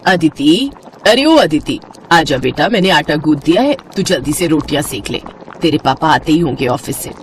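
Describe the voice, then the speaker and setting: A woman's voice: low-pitched, expressive, normal speed, slight background noise. A woman voicing a practical middle-aged mother in a storybook tale, chiding and nagging but fond. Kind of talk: animated Hindi moral story dialogue.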